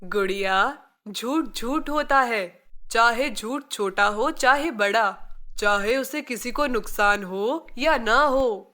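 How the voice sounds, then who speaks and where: Woman voicing a caring big brother, bright and slow. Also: fairly high pitch. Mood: gently admonishing. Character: caring, gently admonishing older brother Arsalan, a boy. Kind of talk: children's moral story character voice.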